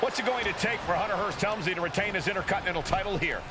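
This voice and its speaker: A man's voice: sits high, rapid-fire, close to an everyday voice. A man playing an earnest, dramatic play-by-play announcer in a scripted wrestling game commentary. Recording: loud arena noise behind. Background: arena ambience and ring thuds.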